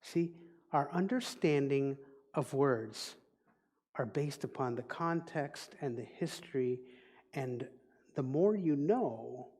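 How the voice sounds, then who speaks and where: Slow-speaking Dutch-accented man preaching. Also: medium pitch, expressive, darkish tone, slightly rough. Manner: slow, deliberate, reflective teaching. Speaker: minister. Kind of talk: sermon. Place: church sanctuary. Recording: long reverb of a large hall.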